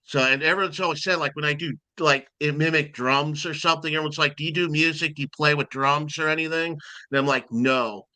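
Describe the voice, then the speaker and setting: A man with a loud voice, a guest timekeeper rambling expressively in a casual interview chat. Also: on the high side, fairly even intonation, fairly quick, no echo.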